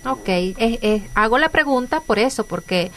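A woman's voice: a little high, lively intonation, moderate pace. A woman with a Venezuelan accent hosting a radio conversation. She talks in an earnest, chatty way and restarts her phrases often. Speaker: radio host. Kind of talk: conversational interview.

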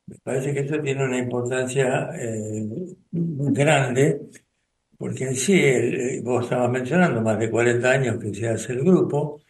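An older man with an Argentine Spanish accent speaks slowly and thoughtfully, with pauses and restarts, as he recalls his past in a radio conversation. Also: medium pitch, roomy sound. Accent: Rioplatense Spanish. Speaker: spiritual group coordinator and radio guest.

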